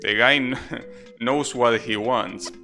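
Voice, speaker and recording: A man's voice: medium pitch, fairly even intonation, slow pace. A man giving casual, rambling live commentary as a game streamer, chatting and explaining as they go. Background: video game music.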